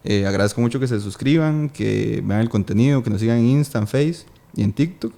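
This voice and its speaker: A young man with a Costa Rican accent hosting a casual interview podcast, asking questions in a relaxed, friendly way. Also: mid-range voice, a little fast, creaky. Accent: Costa Rican Spanish. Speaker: podcast host.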